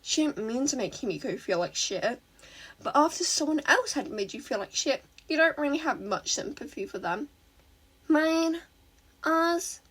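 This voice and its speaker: A woman's voice: on the high side, lively intonation, normal speed, fairly bright tone, husky. A woman reading fan fiction aloud as a scripted story narration.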